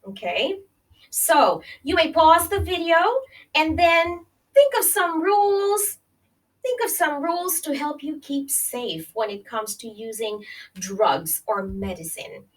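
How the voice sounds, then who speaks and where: A woman's voice: a little high, expressive, slow pace, far from the mic, poor audio. A woman teacher reading a health lesson aloud to children, addressing them directly and checking in as she explains. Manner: addresses young listeners directly with friendly check-ins like "okay?" and "right?". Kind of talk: read-along children's health lesson, pausing to explain and question.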